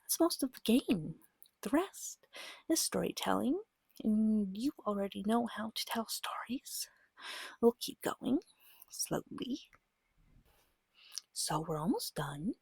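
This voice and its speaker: A woman giving a soft-spoken ASMR guide as a game master, gentle, patient and reassuring, with a breathy voice that often slips into a whisper, close to the mic. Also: mid-range voice, sing-song, slow, pauses often, no echo. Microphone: close microphone.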